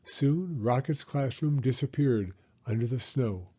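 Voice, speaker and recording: A man reading a children's picture book aloud as an expressive narrator. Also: medium pitch, measured pace, highs cut off. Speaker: storybook reader.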